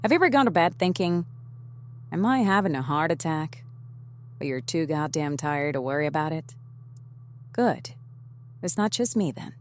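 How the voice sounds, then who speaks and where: A woman playing a world-weary, foul-mouthed, sardonic diner manager in a horror comedy audio drama, with halting delivery. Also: low-pitched, lively intonation, slight background noise. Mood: tired, deadpan. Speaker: lead voice actor. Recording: music behind the voice.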